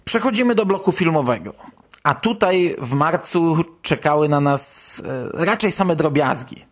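A man's voice: fairly high pitch, expressive, normal speed. A man hosting a podcast, presenting a news roundup in speech. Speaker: podcast host.

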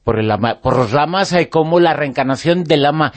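A man's voice: on the high side, expressive, a little fast. A male radio host interviewing guests, asking long questions broken by frequent hesitations.

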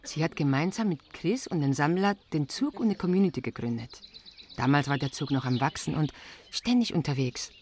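An actress playing an easygoing, reassuring commune member in casual radio drama dialogue. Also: female voice, low voice, expressive, brisk, darkish tone, slight background noise.

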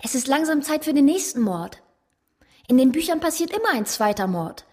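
A woman's voice: high-pitched, sing-song, normal speed, darkish tone. A woman playing a precocious, morbid little girl detective in a radio crime drama, speaking matter-of-factly. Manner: matter-of-fact, knowing.

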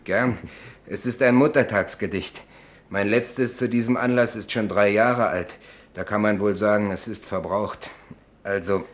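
Ein Schauspieler spielt in einem deutschen Hörspiel einen naiven, ernsthaften Gelegenheitsdichter, arglos und redselig. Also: male voice, lowish voice, steady tone, unhurried, close to an everyday voice. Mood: earnest, proud. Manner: guileless, chatty, declaims rhymes solemnly. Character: naive, earnest amateur greeting-card poet, mild-mannered man. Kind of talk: German radio play acting.

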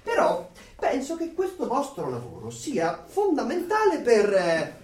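An actor in a dystopian radio play playing a naive, admiring citizen who is hesitant and eager to agree. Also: male voice, very high voice, wildly swooping pitch, unhurried, a little mellow, heavily acted. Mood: deferential. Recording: echoey room, far from the mic.

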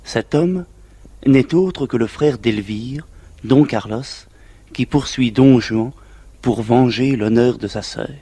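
Old french record narrator guy, slow and measured, soft dark husky voice, scripted storytelling. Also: medium pitch, no echo. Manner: measured, literary. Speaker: narrator linking the scenes.

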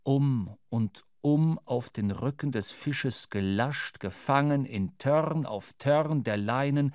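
A man reads literary narration for a radio play. His voice sits at an average pitch and he tells the story in a measured, dramatic way. The sound is very dry, with no room around it. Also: a little mellow, dry recording.

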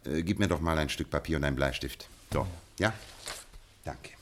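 Detective, very deep voice, measured, authoritative, 1950s radio drama. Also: male voice, a bit bright. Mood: dictating. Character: sharp, commanding male detective, middle-aged. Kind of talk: 1950s German radio play acting.

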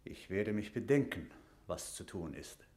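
A man's voice: deep voice, animated, a little slow, a little mellow, reverberant. An actor playing a stern, suspicious middle-aged English knight who guards a captive queen, with solemn, declamatory diction in a classical German verse radio drama. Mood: curt.

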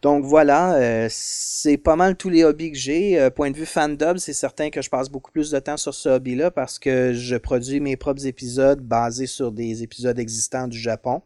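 A man's voice: medium pitch, fairly even intonation, normal speed, hardly any pauses, darkish tone, dry recording, studio quality. A man in his thirties with a Quebec French accent, chatting as a podcast guest about his hobbies, hesitant with many fillers. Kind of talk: casual interview chat.

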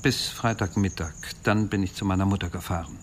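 A man's voice: low-pitched, fairly quick, a little hiss or hum, lightly acted. A man playing a defensive, uneasy married suspect earnestly explaining himself to police in a radio crime drama.

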